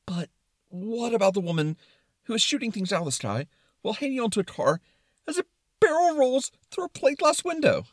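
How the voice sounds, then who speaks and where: Man voicing fretful, high-pitched cartoonish Brain. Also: big pitch swings, brisk, dry recording, studio quality. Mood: alarmed disbelief. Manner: concerned, incredulous objecting. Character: Brain, a fretful, literal-minded, protesting logical mind. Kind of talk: comic skit performance.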